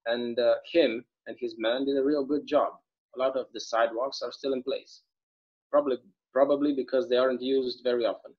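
A man's voice: medium pitch, fairly even intonation, brisk, lightly acted. A young man playing a chatty, folksy small-town bartender in a table read of a stage play, rambling through local stories over a video call. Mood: reminiscing. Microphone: video call microphone. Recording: dull, lo-fi video call audio.

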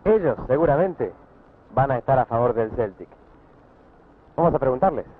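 A man from Argentina with a Rioplatense accent, doing quick-fire street interviews outdoors with a handheld mic. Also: medium pitch, expressive. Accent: Rioplatense Spanish. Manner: brisk, quick-fire questioning. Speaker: street reporter. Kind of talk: vox pop interviews. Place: city streets and harbor, outdoors. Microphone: handheld corded mic. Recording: tinny 1960s archival newsreel sound with street noise.

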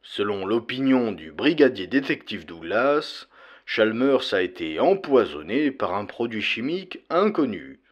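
A man's voice: lowish voice, big pitch swings, unhurried, dull audio. A man narrating a horror audiobook in a dramatic, scripted reading style.

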